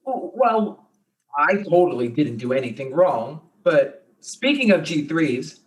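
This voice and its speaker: A woman playing a bumbling, excitable amateur sleuth in a comic mystery, flustered and dramatic. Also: deep voice, measured pace, rough recording. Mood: excited, flustered.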